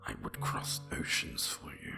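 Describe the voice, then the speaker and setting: A man voicing an obsessive, seductive dragon lover in an intimate, breathy whispered ASMR roleplay, close to the mic. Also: music in the background, natural voice. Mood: tender, devoted. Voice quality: breathy whisper with gravelly growl. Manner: slow, intense, intimate.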